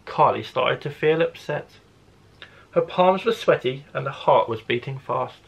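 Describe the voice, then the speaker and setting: A man who works as a primary school teacher, reading a story expressively to children with deliberate phrasing. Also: medium pitch, gravelly, slight background noise, poor audio.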